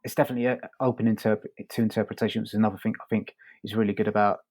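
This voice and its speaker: A man co-hosting a podcast, discussing casually in a halting, conversational way. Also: lowish voice, level tone, darkish tone, dry recording. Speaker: podcast co-host.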